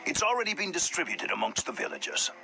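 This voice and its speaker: A man's voice: high-pitched, big pitch swings, fast, over music, low-quality recording. An actor voicing a loyal, eager, deferential male soldier in a video game. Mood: reassuring.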